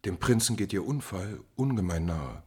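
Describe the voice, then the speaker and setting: A man playing a smooth, evasive courtier in 1950s German radio drama, with a formal, deferential way of speaking. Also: low-pitched, expressive, normal speed. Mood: guarded politeness. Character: smooth, guarded male courtier, evasive and servile to his prince.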